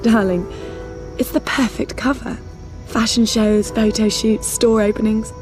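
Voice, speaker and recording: Breezy socialite spy played by an actress. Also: female voice, fairly high pitch, little pitch movement, a little slow, music in the background, close to an everyday voice. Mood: coy, confiding. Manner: teasing, airy, coy. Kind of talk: cinematic game acting.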